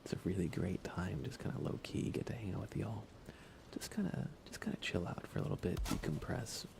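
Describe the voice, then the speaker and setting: A man streaming ASMR in a soft, often whispery voice, chatting in an unhurried, low-key way with pauses. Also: gravelly, noisy background. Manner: hushed, unhurried, relaxed delivery with pauses. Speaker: ASMR livestreamer.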